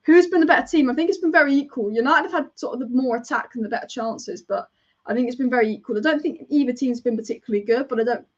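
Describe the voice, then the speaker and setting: Chatty higher-voiced woman fan reacting live. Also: fast talker, dull audio. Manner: chatty, rambling and partisan, reacting to play. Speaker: football fan streamer. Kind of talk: live match watch-along commentary.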